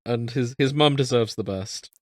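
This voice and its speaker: A man's voice: medium pitch, normal speed. A man with an English accent chats casually as a podcast co-host in a wry, teasing way. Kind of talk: casual chat.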